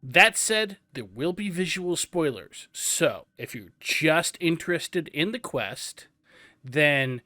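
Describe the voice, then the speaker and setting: Slow, dry-voiced man explaining a game. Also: fairly high pitch, dry recording. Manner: relaxed, explanatory, drawn-out. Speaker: host. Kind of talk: game walkthrough commentary.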